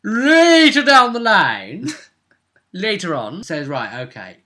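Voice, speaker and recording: A man speaking as game master and host, casually recapping a tabletop roleplay session in an animated, explanatory manner. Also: sits high, takes it slowly.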